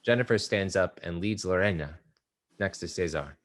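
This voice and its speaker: A man calmly reading stage directions aloud at a play table read. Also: deep voice, moderate pace. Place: art exhibition theater area.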